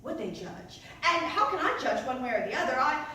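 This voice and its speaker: A very echoey, distant recording of a woman acting as a wry churchgoing mother full of doubt. She delivers a theatrical comic monologue in a high, animated voice, halting and wandering off on digressions. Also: full character voice. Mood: flustered, doubting. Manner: rambling, halting, digressive, self-interrupting. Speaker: actor performing a monologue. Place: indoor staged reading in a reverberant room. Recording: echoey room, distant mic, faint noise.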